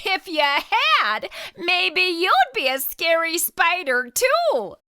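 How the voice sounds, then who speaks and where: A high, bright mother cat from a storybook, voiced by a woman. She is playful and motherly, gently teasing as she reassures. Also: very animated, slow, dry recording, studio quality, heavily acted.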